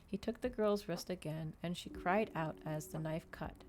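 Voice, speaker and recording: A woman's voice: lowish voice, moderate pace, a little mellow. A woman narrating a novel aloud as a scripted ASMR reading. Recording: noticeable background noise.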